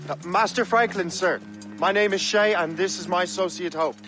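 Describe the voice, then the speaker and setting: Determined young assassin under loud music. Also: male voice, sits high, normal speed, recorded from a distance. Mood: polite. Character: Shay, a cool, defiant young assassin agent. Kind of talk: dramatic video game acting.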